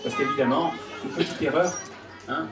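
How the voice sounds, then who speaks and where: A man's voice: on the high side, moderate pace. A man giving live commentary as a martial arts master at a demonstration, explaining instructively in short phrases. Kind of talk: live spoken commentary for an audience. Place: restaurant hall during a public demonstration. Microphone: handheld microphone, heard through a far camera. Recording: distant camera, echoey room, loud crowd.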